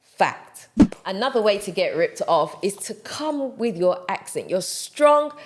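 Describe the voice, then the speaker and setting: A woman's voice: mid-range voice, sing-song, unhurried, heavy background noise. A woman talking straight to camera in a casual advice monologue, direct and emphatic, repeating her points for stress. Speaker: vlogger giving advice.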